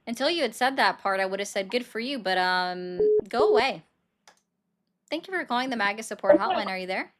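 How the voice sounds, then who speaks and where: A woman hosting a political call-in livestream, brisk and sardonic, often cutting callers off. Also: mid-range voice, lively intonation, a bit bright. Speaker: livestream host taking calls.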